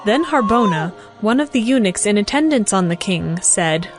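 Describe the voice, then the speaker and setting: A woman giving a formal, measured, scripted narration of a dramatized scripture story. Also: medium pitch, animated. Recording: music behind the voice.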